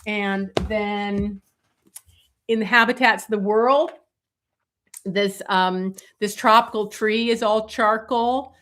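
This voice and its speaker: A middle-aged woman illustrator chatting casually on a live stream, with many fillers and a voice going hoarse. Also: mid-range voice, steady tone, slow, a bit bright. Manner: many fillers, talks while showing pages. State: losing her voice, coughing.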